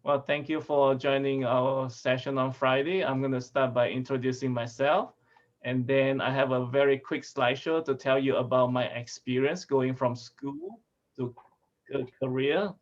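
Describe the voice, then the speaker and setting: Man from Malaysia, relaxed presenter, chuckles often, muffled lo-fi call audio. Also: medium pitch, moderate pace. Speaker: sensory scientist presenter. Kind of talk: casual video-call presentation. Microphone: video call. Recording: dull lo-fi video call audio.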